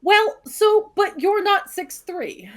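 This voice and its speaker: A woman's voice: very high-pitched, animated, takes it slowly. A woman from Maine with a Maine accent, a teacher co-hosting a casual chat podcast, chatty and often talking over her co-host.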